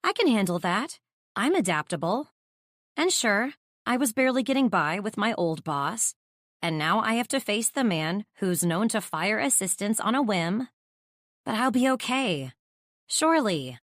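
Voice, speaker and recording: A woman acting as an anxious young female assistant tells her own story in a romance audiobook. She is wry, dramatic and self-deprecating, with a medium-pitched voice recorded very close and dry. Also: animated, normal speed, no room sound, close to an everyday voice. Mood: anxious, rattled. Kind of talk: first-person romance audiobook narration.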